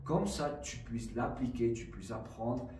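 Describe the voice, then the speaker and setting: A man speaking French that is not his first language, talking slowly and haltingly and stopping often to check in with his viewer. His voice carries a roomy echo from a hard-walled space. He sits a little back from the microphone, and faint ambient noise runs underneath. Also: mid-range voice, dark tone, recorded from a distance. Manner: slow, halting, many fillers and "d'accord?" checks. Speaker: trading vlogger. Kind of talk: casual explanatory vlog.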